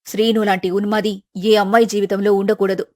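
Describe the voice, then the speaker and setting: Protective middle-aged mother, played by a woman, storybook drama, commanding and emphatic, pitched well under the performer's own voice. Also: little pitch movement, brisk, a little mellow, no echo, studio quality, natural voice. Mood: bitter, concerned. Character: angry protective mother, middle-aged, fierce yet loving.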